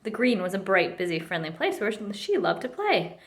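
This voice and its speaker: A woman reading a children's picture book aloud with expressive narration. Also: medium pitch, moderate pace. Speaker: storybook reader.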